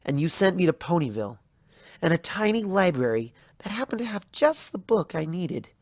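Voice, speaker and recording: A bitter, hurt young pony scholar as a narrator acts her out in a storybook monologue. Her delivery is measured and accusatory, with a creaky edge and a close, dry sound. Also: mid-range voice, big pitch swings, no echo. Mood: aggrieved. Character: betrayed, bitter young female pony student, intellectual and hurt. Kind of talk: dramatic fan fiction reading as first-person monologue.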